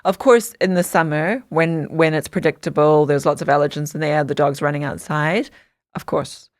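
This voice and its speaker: A woman's voice: deep voice, normal speed, no echo, studio quality. A woman from Samoa, a guest founder of a dog skincare brand, telling detailed stories in an interview with frequent restarts. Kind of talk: interview storytelling.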